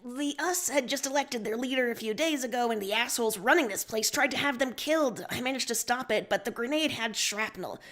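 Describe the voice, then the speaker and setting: A woman as a high-voiced, stubborn rebel leader. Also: brisk, few pauses, fairly bright tone, natural voice. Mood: urgent, defensive. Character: stubborn, weary alien rebel leader, sarcastic and impatient.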